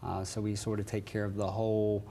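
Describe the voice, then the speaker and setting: A man's voice: on the low side, level tone, brisk, dark tone, slight background noise. A man from West Virginia, a hospital nurse manager, giving polite and explanatory interview answers with a bit of dry humor.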